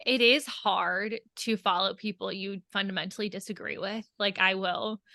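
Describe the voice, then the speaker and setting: A woman with a bright, crisp-edged voice chats on a podcast. Her delivery is conversational and candid, with plenty of "like" along the way. The sound is dry and close, with very little room. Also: mid-range voice, steady tone, normal speed, dry recording. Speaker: podcast co-host. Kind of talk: casual podcast chat.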